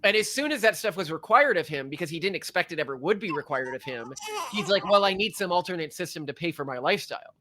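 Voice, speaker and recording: A man's voice: high voice, expressive, fast, pushed and bright, slight background noise. A man co-hosting a podcast, talking in a rambling, sarcastic way and reading facts off a list. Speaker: podcast co-host. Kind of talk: casual opinionated chat.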